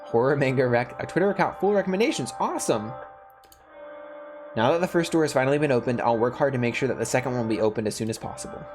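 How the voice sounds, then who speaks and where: A man's voice: mid-range voice, expressive, fast. Man, gaming host, mid-range voice, reading story text aloud, casual commentary, music underneath.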